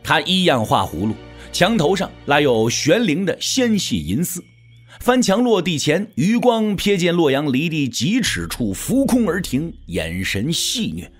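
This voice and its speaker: A man's voice: fairly high pitch, very animated, unhurried, husky, faint music behind. A man narrating a scripted audio drama in a dramatic storytelling style.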